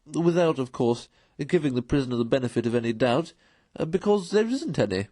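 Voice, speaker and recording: A man's voice: medium pitch, lively intonation, a little fast, warm tone, no echo, studio quality, lightly acted. A man voicing a cheerful, pompous, merciless court chairman in a storybook reading, declaiming with relish. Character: cheerful, pompous, merciless male magistrates' chairman passing sentence. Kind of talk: storybook character voice in a read-aloud.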